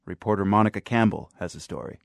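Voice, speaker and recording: A man hosting a radio news program, introducing a story in a steady, formal way. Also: fairly low pitch, fast talker, creaky, dry recording.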